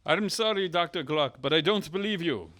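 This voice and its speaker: A high, theatrical vampire patient. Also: male voice, lively intonation, moderate pace, a bit bright. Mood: polite, suspicious. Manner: theatrical, declamatory. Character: indignant, melodramatic male vampire patient, Dracula type. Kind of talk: comic radio-play acting.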